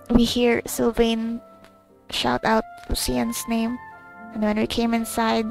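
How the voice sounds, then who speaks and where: Woman, casual gaming recap, halting, rambling, creaky, slightly high voice, faint music behind. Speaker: gamer recording her playthrough.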